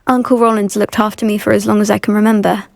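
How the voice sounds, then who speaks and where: Creaky-voiced British schoolgirl witch, played by a woman. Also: mid-range voice, level tone, quick pace, no echo, natural voice. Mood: worried, earnest. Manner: earnest, well-spoken. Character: anxious, polite teenage schoolgirl witch devoted to her uncle. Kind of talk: video game dialogue acting.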